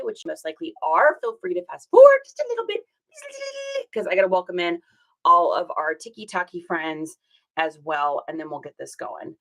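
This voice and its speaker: A woman with a slightly hoarse, rough voice, hosting a casual livestream and chatting upbeat with viewers, greeting them by name. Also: on the low side, fairly bright tone, low-quality recording. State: hoarse from singing. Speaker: reseller livestream host.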